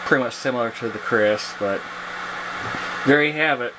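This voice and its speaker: A man casually showing off a piece of his collection on camera, speaking in a halting, plainspoken way. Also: mid-range voice. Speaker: hobbyist video presenter, radio collector. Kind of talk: casual product show-and-tell, unscripted. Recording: loud steady hum and hiss.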